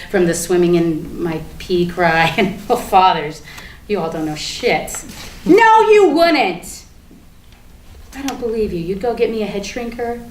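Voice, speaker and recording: A woman's voice: medium pitch, distant mic, natural voice. A woman as a rambling, defiant suspect, echoey room. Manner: chatty, sarcastic, halting, breaks into nervous laughs. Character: rambling, defiant, foul-mouthed woman caught for wrongdoing, under questioning. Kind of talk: dramatic monologue in a staged reading. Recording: echoey room with faint background noise.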